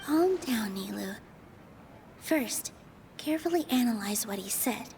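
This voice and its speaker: An actress playing an earnest, gentle young female dancer in a game cutscene, speaking carefully and politely. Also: a little high, lively intonation, unhurried, background noise. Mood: hushed, self-steadying.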